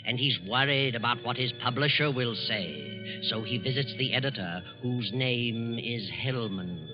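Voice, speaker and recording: A man with an American accent delivering measured, theatrical narration as host of a 1940s radio drama. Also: mid-range voice. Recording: muffled 1940s radio recording, loud music.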